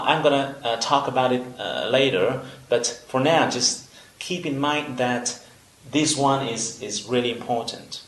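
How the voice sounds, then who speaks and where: A young man whose first language is Vietnamese explains English basics with a Vietnamese accent. He speaks slowly and deliberately, pausing often with small fillers. His voice sits at an ordinary pitch and rings in an echoey room. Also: fairly bright tone. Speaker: English teacher. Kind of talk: slow instructional video lesson.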